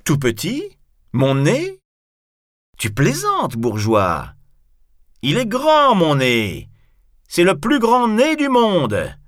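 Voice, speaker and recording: A man's voice: mid-range voice, wildly swooping pitch, takes it slowly, pauses often, no room sound, studio quality, pitched above the performer's own voice, exaggerated voice. A man voicing a proud, bold, mocking swordsman in a grandiloquent storybook style. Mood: boastful indignation. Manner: grandiloquent, taunting.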